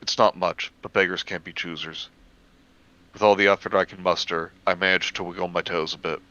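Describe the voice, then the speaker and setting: A man reading a first-person horror story aloud in measured narration, stumbling over the occasional word. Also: sits low, fairly even intonation, sharp tone, muffled recording.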